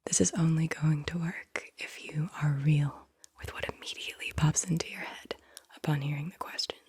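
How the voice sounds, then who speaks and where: A woman whispering softly and breathily as she hosts a soothing, deliberate ASMR guided visualization. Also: low-pitched, steady tone. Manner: soothing, slow and deliberate, repeats words softly. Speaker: ASMR host guiding visualization exercises.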